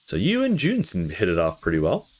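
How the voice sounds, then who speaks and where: Guy doing a visual novel lets play, kinda low voice, super animated reading, chill and casual. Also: fast talker. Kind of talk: reading game dialogue aloud with casual commentary.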